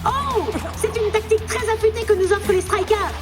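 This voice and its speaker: A woman playing an excitable football commentator in a French cartoon dub. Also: very high voice, fairly quick, over loud music. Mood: excited.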